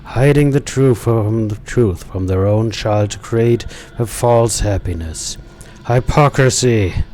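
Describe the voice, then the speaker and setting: A man acts out a masked killer from a video game, a zealot pronouncing divine judgement. The voice is dark and slow, solemn and declamatory, with background noise. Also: on the low side. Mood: accusing, righteous. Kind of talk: dramatic reading of game dialogue.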